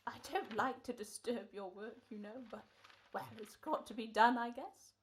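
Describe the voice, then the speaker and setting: A woman's voice: a little high, normal speed, close to an everyday voice. A woman playing a polite, rambling old coffin salesman in a radio comedy-horror play. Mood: polite salesmanship. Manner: rambling, courteous, folksy patter.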